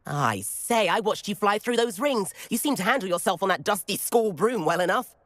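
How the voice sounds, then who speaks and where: A man's voice: high-pitched, big pitch swings, moderate pace, a bit bright, slight background noise. A man playing a cheeky, adventurous teenage schoolboy wizard in a video game, chatty, eager and well-spoken. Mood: eager, conspiratorial. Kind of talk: video game character acting.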